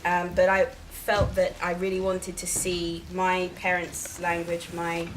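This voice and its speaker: A woman's voice: on the low side, monotone, a little slow, noisy background. A woman children's book author answering questions at a live event, chatty and friendly, with lots of fillers as she explains. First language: Igbo. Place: event venue with an audience.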